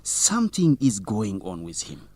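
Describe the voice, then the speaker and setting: A man's voice: fairly low pitch, huge pitch swings, measured pace, a little mellow, dry recording, exaggerated voice. An actor playing a determined, teasing young male student reporter in an educational radio drama, in plain, simple sentences. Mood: determined, suspicious.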